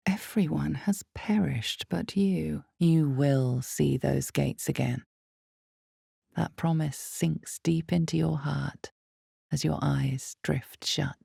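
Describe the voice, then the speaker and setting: A woman playing the knowing, ominous narrator of a dark fantasy game, brooding and deliberate, with weighted pauses and hushed drops. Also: low voice, gravelly, husky, no echo, clean studio sound, exaggerated voice. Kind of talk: dramatic scripted second-person fantasy narration.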